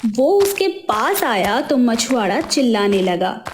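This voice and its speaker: Slow expressive woman storyteller. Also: a little high, slight background noise. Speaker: narrator. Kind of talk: storybook narration.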